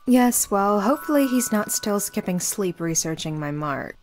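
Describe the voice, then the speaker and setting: A woman's voice: mid-range voice, lively intonation, normal speed, a little mellow, slight background noise, close to an everyday voice. A woman playing a studious, serious young necromancer in a visual novel, speaking in a matter-of-fact, explanatory way. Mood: casual, reserved. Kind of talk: scripted visual novel acting.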